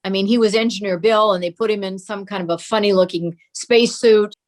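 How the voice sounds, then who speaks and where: An older woman reminisces as an interview guest. Her voice sits at a middle pitch as she tells personal anecdotes. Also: steady tone, brisk. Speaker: interview guest sharing memories of her father.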